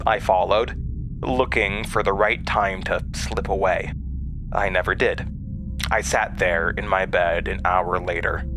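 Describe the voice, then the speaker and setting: An actor playing a haunted, anxious man who narrates his past hesitantly in a horror audio drama. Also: lowish voice. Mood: brooding, watchful.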